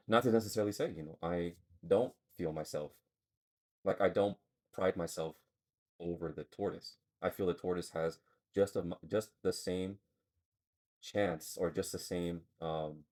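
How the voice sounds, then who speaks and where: A man's voice: low voice, a little mellow. A man co-hosting a casual podcast chat, thinking aloud and rambling as he searches for his words. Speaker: podcast co-host.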